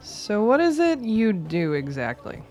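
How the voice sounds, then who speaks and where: Radio drama: actress as curious but wary young woman, mid-pitched, understated delivery. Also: sing-song, a little slow, a little mellow, soft background music. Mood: curious, dry. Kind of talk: radio play acting.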